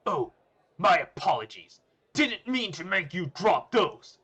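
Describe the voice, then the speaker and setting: A man voicing a boastful, threatening high school bully in a story. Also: sing-song, slow, pushed and bright, husky, exaggerated voice. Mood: brash, booming. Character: Kaga, swaggering menacing male high school bully.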